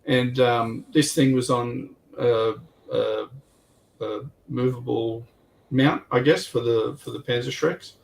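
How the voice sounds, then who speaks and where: A man's voice: mid-range voice, steady tone, slow pace, creaky, recorded from a distance, poor audio. A man on a hobby livestream panel, commenting casually on a tabletop game and thinking aloud in a hesitant, rambling way.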